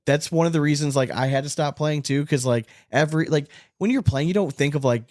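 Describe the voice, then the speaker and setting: A man's voice: fairly high pitch, fairly quick, darkish tone, no echo, clean studio sound. A man hosting a casual sports podcast, talking in a blunt, profane way. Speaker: podcast host.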